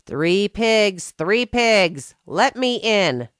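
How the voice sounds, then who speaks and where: A woman's voice: medium pitch, animated, clean tone, dry recording, clean studio sound, pitched above the performer's own voice. A woman voicing a wicked, hungry male wolf who huffs and taunts menacingly in a children's story. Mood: taunting. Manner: huffing, drawn-out taunting.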